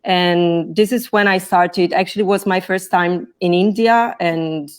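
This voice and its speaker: A woman's voice: lowish voice, fairly even intonation, a little slow, clear, resonant tone. A woman from Italy with an Italian accent, explaining her academic path carefully as a guest on a video call. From Naples, Italy. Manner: careful, explanatory, restarts to rephrase. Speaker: scholar, guest. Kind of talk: explaining in an interview.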